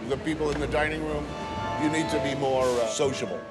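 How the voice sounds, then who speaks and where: A man's voice: a little high, fairly even intonation, a little fast. A man hosting a television show who chats and interviews in a dry, wry way. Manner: dry, wry conversational delivery. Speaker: television host. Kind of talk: casual interview and narration. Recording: music underneath.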